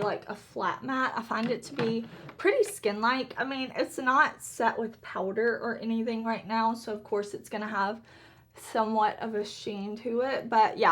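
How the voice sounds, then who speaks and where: A woman, a little hoarse, chatting upbeat to viewers while doing her makeup. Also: on the high side, a bit bright. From Southern United States. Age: 30s. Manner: chatty and upbeat, laughs often. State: slightly hoarse. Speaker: beauty vlogger. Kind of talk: casual get-ready-with-me makeup chat.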